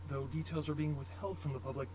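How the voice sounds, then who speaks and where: A man playing a television news anchor in a video game, reading headlines in a formal, measured way. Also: on the high side, fairly even intonation, background noise, lightly acted. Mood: formal, serious. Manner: formal, measured newsreader delivery. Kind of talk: scripted TV news report.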